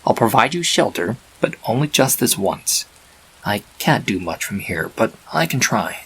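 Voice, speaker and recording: A man's voice: fairly low pitch, very animated, normal speed, fairly bright tone, slight background noise, rough recording. A man voicing a grumpy, reluctant male chaos spirit trapped in stone, exasperated and grudging, in a fan story reading. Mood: grudging, muttering.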